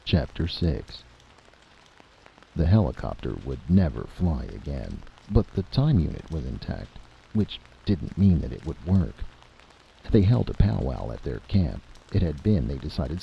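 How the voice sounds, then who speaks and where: A man's voice: rumbling low, sing-song, measured pace, gravelly, muffled recording, slight background noise. A man narrating a scripted story in a dramatic storytelling style.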